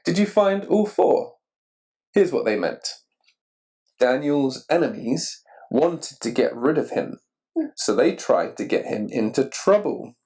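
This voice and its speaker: A man reading a children's picture book aloud expressively and deliberately, putting questions to the listener. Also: mid-range voice, big pitch swings, creaky, darkish tone. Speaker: story reader.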